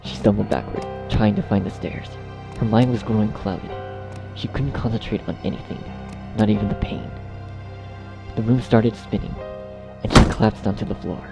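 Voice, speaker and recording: A man narrating a dark fan fiction story as a scripted reading. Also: fairly low pitch, moderate pace, vocal fry, long pauses, loud music behind the voice.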